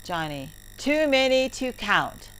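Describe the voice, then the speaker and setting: A woman narrating a story lesson for English learners, reading deliberately word by word. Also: sits high, big pitch swings, loud music behind the voice. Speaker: teacher narrator. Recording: heavy background noise, clicks.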